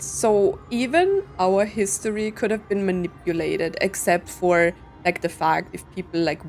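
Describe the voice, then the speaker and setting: Female game streamer, mid-pitched, casual musing commentary, game music underneath. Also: darkish tone. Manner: rambling, musing aloud.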